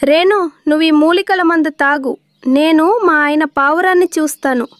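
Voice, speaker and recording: Woman voicing a high-pitched helpful lady pigeon. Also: normal speed, no room sound, close to an everyday voice. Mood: kind, helpful. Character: helpful female pigeon, wife and neighbour. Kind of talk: children's moral-story cartoon dialogue.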